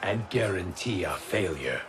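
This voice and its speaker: An actor playing a thoughtful warrior who speaks in a questioning tone. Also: male voice, low-pitched, expressive, a little slow. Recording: loud music and crowd noise.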